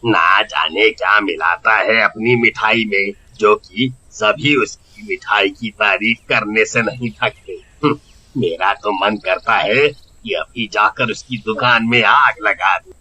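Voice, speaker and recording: A man's voice: fairly low pitch, takes it slowly, pushed and bright, breathy edge, heavily acted, poor audio. A man voicing a jealous, spiteful village sweet-shop owner in a cartoon, grumbling in an exaggerated way. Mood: angry, scheming. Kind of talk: cartoon acting.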